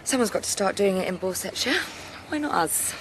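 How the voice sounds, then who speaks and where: Lively, confident young farmer's daughter played by an actress. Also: female voice, mid-range voice, brisk, a little hiss or hum, close to an everyday voice, low-quality recording. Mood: breezy, defensive. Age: young adult. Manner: breezy, persuasive. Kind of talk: naturalistic radio drama acting.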